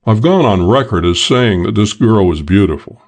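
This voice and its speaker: Male narrator, low register, for first-person hard-boiled detective fiction read from a script. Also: lively intonation, moderate pace. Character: hard-boiled middle-aged male detective, gruff and unsentimental. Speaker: audiobook narrator.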